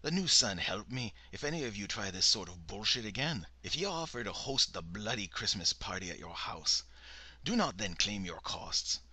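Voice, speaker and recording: A man's voice: lowish voice, sing-song, normal speed, bright, forceful tone, husky, dry recording, heavily acted. Man doing a stern Scottish old cult elder. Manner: exasperated, commanding.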